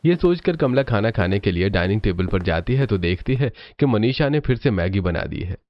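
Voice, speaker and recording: A man's voice: on the low side, lively intonation, a little fast, no room sound, muffled recording. A man reading scripted storybook narration for a cartoon.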